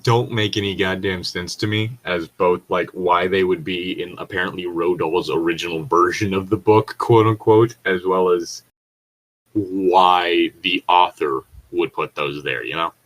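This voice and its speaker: Low-pitched man, casually rambling commentary. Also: fairly even intonation, measured pace, rough recording. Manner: sweary, rambling, incredulous. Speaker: co-host reading and reacting to online horror stories. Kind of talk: casual commentary and reading aloud.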